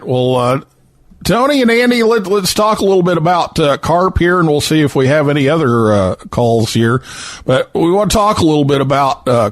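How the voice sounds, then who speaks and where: A man's voice: a little high, no echo, studio quality. A man hosting a call-in radio show in a casual, folksy interview style, often repeating words as he goes.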